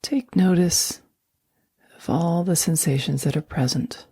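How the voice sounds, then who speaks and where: A woman guiding a sleep meditation in a soft, breathy near-whisper, speaking slowly and gently with long, soothing pauses. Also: on the low side, no room sound. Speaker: meditation guide.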